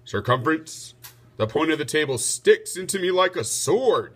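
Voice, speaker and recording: A stern, dissatisfied storybook monarch, read by a man. He speaks firmly in a bright, forceful voice. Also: on the high side, very animated, normal speed, husky, pitched above the performer's own voice, heavily acted. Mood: fed up. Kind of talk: children's storybook read-aloud.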